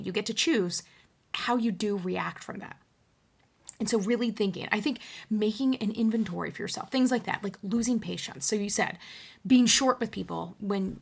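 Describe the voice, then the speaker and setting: A woman's voice: mid-range voice, vocal fry, fairly bright tone, breathy edge. A woman speaking as an HR coach on one side of a roleplayed call, conversational and reassuring, with emphatic asides. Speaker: HR coach, show host.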